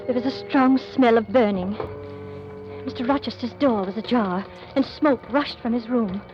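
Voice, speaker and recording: A woman plays a young governess in an old radio play, earnest and reserved. Her voice is fairly high and quietly composed, with music behind it on a slightly muffled recording. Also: moderate pace, natural voice. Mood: hushed, reflective. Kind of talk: dramatic first-person radio narration.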